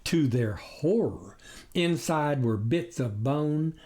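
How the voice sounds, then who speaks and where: A man's voice: a little high, animated, takes it slowly, dark tone. A man reading his own satirical story as a dramatic, folksy narrator.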